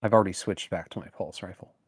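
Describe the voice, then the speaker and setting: A man's voice: deep voice, steady tone, fast, breathy edge, no room sound, clean studio sound. A man playing a tabletop roleplaying game, casually describing what his character does.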